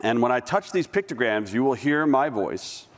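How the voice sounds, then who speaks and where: Brief: male stage presenter, animated lively pitch, hall reverb, casual demo talk. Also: moderate pace. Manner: conversational, with restarts while demoing. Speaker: conference presenter. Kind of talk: live tech demo presentation. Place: large conference hall stage. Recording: long reverb of a large hall.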